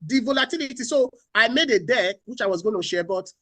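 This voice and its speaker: A man's voice: very high-pitched, lively intonation, quick pace, a bit bright, dry recording. A man from Nigeria, speaking as a finance panelist on a talk show, repeating key phrases and asking rhetorical questions.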